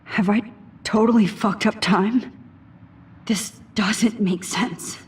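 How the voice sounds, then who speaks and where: A woman's voice: medium pitch, steady tone, takes it slowly, breathy edge. A woman playing an anxious, self-doubting American teenage student in a video game, earnest and uncertain. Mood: bewildered inner monologue.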